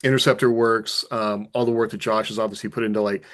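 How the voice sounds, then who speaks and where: Man, lowish pitch, casual panel talk, enthusiastic, thinks out loud. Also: level tone, fast. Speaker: podcast co-host and author. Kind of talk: casual panel discussion about writing.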